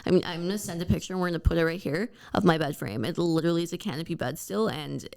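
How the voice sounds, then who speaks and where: A young woman in her twenties co-hosts a casual podcast. Her voice sits a little low, and she talks in loose, rambling runs full of "like" and casual swearing. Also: very fast, creaky, a little mellow. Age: 20s. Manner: chatty, swears casually, fills with "like". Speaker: podcast co-host. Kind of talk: casual chat.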